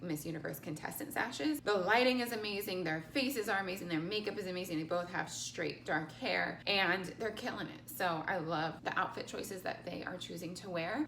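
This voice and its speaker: Bright, gushing young woman vlogger. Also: mid-range voice, echoey room, a little hiss or hum. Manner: gushing, animated, with lots of "like" and "I loved". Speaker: pageant vlogger and coach. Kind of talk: casual chatty commentary to camera.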